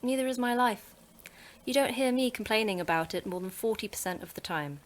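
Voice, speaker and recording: A woman's voice: a little high, animated, brisk, natural voice. A woman playing a terse, sarcastic facility manager. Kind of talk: audio drama acting.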